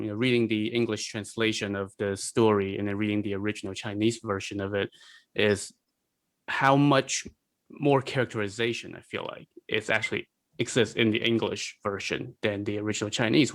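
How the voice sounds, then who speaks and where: A man explaining things in a measured, hesitant way during a casual analytical podcast discussion. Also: fairly low pitch, a bit bright. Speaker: podcast panelist.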